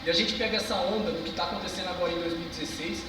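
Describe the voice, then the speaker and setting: A young man with a São Paulo interior accent speaks with fervent, emphatic conviction, dropping street slang as he addresses a small crowd. His voice sits high, and it comes from far off in a big echoing hall with a long reverb tail. Steady noise hums under the whole recording. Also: very fast. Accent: São Paulo interior Brazilian Portuguese. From Brazil. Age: 20s. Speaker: speaker giving a talk. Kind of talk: passionate live talk to a small audience. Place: cultural center hall.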